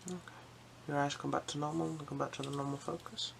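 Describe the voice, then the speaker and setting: An ASMR performer role-playing an eye examiner, giving gentle, unhurried, reassuring instructions in a breathy whisper mixed with soft speech. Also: mid-range voice, flat delivery, slight background noise.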